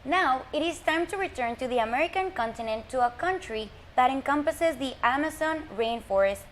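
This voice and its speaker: A woman's voice: high voice, lively intonation, moderate pace, slight background noise. A woman hosting an online student showcase, announcing each country. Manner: announcing, welcoming. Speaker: event host. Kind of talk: scripted hosting.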